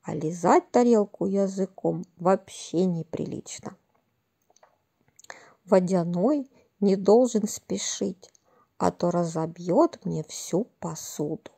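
A woman's voice: on the low side, slow pace, gravelly, warm tone, slightly rough, exaggerated voice. A woman voicing an old female forest witch from a storybook who sings a stomping song and scolds her guests sternly. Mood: scolding. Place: outdoors in a leafy garden.

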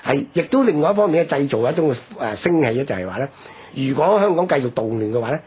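A man with a Hong Kong Cantonese accent discusses history on radio. His voice is very husky and rough, and his pitch moves animatedly. He explains emphatically, often putting rhetorical questions. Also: lively intonation, quick pace, darkish tone.

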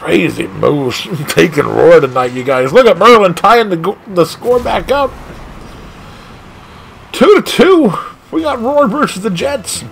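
A man streaming a car soccer game, commentating his own play with excited outbursts and frustration at near misses. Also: high voice, a little slow, creaky, slight background noise. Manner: animated, exclaiming, frustrated at missed shots. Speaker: gaming streamer. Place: home gaming room.

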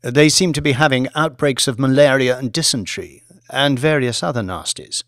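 A man's voice: mid-range voice, normal speed, fairly bright tone, dry recording, studio quality, close to an everyday voice. A man voicing a loyal, deferential bank director in an audiobook, speaking politely and formally. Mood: sober reporting. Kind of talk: audiobook character acting.

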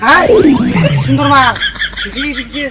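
A man playing a leering, smitten young friend in a village comedy drama, excited and gushing. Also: very high voice, normal speed, music in the background.

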